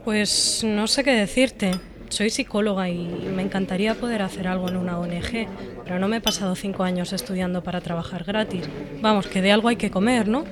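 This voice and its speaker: Casting: an actress as a sarcastic young Spanish job-hunter, mid-pitched, crowd noise behind. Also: female voice, brisk, natural voice. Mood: frank. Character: frustrated young female job-seeking psychologist, blunt. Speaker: unemployed psychologist. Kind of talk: scripted dialogue.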